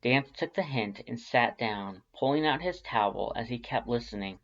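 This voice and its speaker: A narrator reading scripted fiction in a low-key, measured manner. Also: lively intonation, muffled recording.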